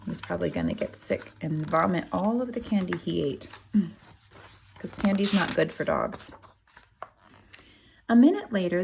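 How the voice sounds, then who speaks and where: A woman reads a picture book to young children in the style of a kindergarten teacher. Her pace is unhurried and her voice has a noticeable creak. She steps out of the text to explain and to put questions to her listeners. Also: mid-range voice, a little mellow, slight background noise. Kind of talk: animated storybook read-aloud with asides to children.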